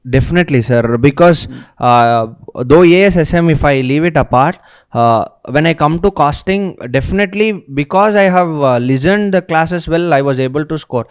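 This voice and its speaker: Earnest young man, smooth dry voice. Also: a little high, expressive, moderate pace, dry recording. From India. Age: young adult. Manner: earnest, respectful, many fillers and "sir". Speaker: student interviewee. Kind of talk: interview answers.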